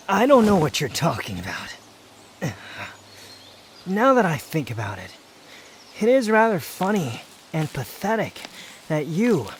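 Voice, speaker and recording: A man playing an aloof, proud young wanderer in an intimate roleplay audio drama, guarded yet secretly fond, with a brooding, haughty delivery full of pauses. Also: on the high side, slight background noise. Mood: haughty, teasing. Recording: outdoor nature ambience with insects. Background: insect and nature ambience.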